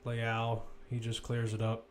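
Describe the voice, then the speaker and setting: Male football commentator, mid-pitched, live running play-by-play with off-the-cuff asides and corrections. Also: completely flat delivery, unhurried, a little hiss or hum.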